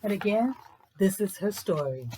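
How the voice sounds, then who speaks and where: A woman presenting and reading children's books aloud in an informal, explanatory way with deliberate, emphatic phrasing. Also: mid-range voice, animated, mellow, slight background noise. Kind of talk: informal book talk and storybook read-aloud.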